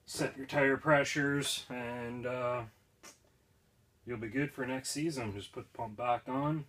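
A man's voice: mid-range voice, fairly even intonation, fairly bright tone. A young man casually explaining a repair step by step in a garage, plain and practical. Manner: plain, unhurried, practical, with frequent fillers. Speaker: DIY video host. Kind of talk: casual how-to demonstration. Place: indoor garage or shed, filming himself while crouched working.

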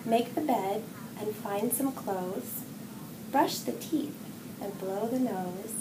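A middle-aged woman reading a children's picture book aloud with expressive, sing-song phrasing. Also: mid-range voice, slow. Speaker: children's story reader, hospital executive assistant. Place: indoor room with wall decorations. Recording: roomy echo, distant mic, clear background noise.

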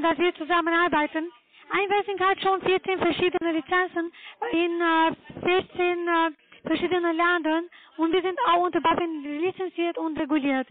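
A woman with a foreign accent giving a halting, formal sales pitch as a telemarketer on the phone. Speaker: telemarketing caller, trading broker. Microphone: telephone call. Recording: tinny narrow-band phone line.

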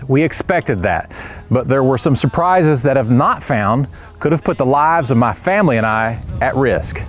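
A man in his forties, a pilot host, narrating in an earnest, grateful tone from an aircraft hangar. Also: medium pitch. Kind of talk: scripted narration.

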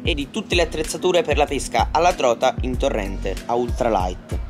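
A man's voice: medium pitch, expressive, normal speed, music in the background. A teenage male vlogger enthusiastically presenting products to viewers in an unboxing, speaking outdoors at a table. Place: outdoors at a patio table in a garden.

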